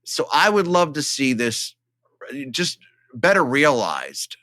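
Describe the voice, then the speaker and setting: A man's voice: medium pitch, wildly swooping pitch, takes it slowly, bright, forceful tone, no echo. A man co-hosting a casual film podcast, talking enthusiastically and emphatically in a conversational way. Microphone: studio microphone, close.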